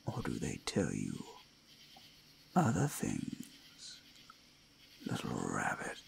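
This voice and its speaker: A predatory male werewolf toys with his prey in an ASMR roleplay. He speaks extremely slowly in a heavy growling rasp, swinging theatrically between seductive murmurs, menace and breathy whispers. Faint noise sits behind the voice. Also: extremely low pitch, huge pitch swings, heavily acted. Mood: sly, coaxing. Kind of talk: ASMR roleplay, dramatic monologue.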